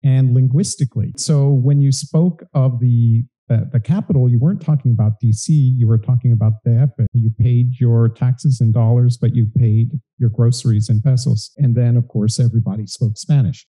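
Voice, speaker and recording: A man's voice: mid-range voice, normal speed, hardly any pauses, mellow, poor audio. A middle-aged man from south Texas, an academic explaining history as a panelist on a stage. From south Texas, United States. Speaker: English professor, panelist. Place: college event room, seated on stage. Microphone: handheld microphone.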